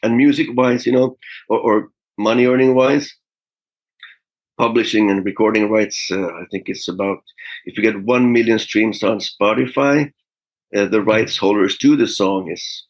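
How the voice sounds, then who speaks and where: A man in his fifties, a guest musician, telling stories in a relaxed interview with frequent fillers and small laughs. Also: lowish voice, a little slow, low-quality recording. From Finland. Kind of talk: casual interview talk.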